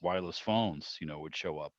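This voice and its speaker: A middle-aged man hosting a club meeting by video call, chatting casually and telling rambling, animated anecdotes over lo-fi audio. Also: sits low, a little fast, no room sound. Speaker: club meeting host, interviewer.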